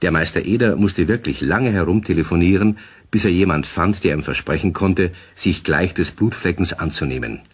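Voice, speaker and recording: A man narrating a children's radio play in a husky voice, in a scripted storybook style. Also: low-pitched, normal speed. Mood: calm, storytelling.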